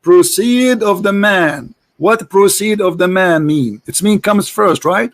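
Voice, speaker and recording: A man with a notably high speaking voice leads a heated debate over an online call. He pushes the same question again and again, insistent and relentless, giving his opponent little room. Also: slow. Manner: insistent, repeats pointed questions.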